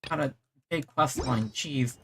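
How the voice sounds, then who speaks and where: Bright-voiced streamer muttering through a game. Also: medium pitch, a little slow, slight background noise. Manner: reactive, muttering to self. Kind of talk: live gameplay commentary, reading dialogue aloud.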